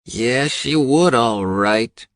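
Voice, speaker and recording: A man's voice: mid-range voice, drawn-out words, no echo, clean studio sound, voice pushed up. A man voicing a stern, no-nonsense mother, curt and commanding, in an audiobook. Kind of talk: audiobook character acting.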